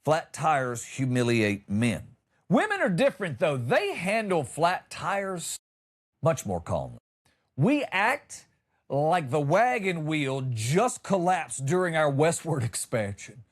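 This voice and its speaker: Middle-aged American man, higher-pitched voice, very lively intonation, wry comedy podcast monologue. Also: takes it slowly. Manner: wry, exaggerating, acts out quoted lines. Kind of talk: humorous monologue on news stories.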